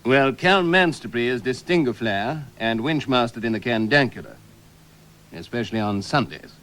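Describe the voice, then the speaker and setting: A man playing a self-assured official, deadpan and formal, in a spoof radio broadcast. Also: medium pitch, normal speed, natural voice. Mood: earnest, deadpan. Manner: deadpan, formal, matter-of-fact. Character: self-assured male official talking solemn technical gibberish.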